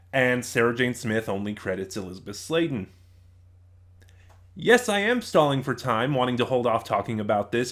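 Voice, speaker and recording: A man's voice: mid-range voice, fairly bright tone. A man giving a casual, rambling review as a reviewer, opinionated but often hesitant and digressive.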